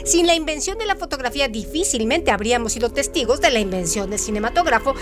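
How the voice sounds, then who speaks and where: High-voiced woman presenting literary trivia. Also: expressive, fast talker, music in the background. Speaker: co-host of a literary radio show. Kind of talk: scripted narration of literary trivia and analysis.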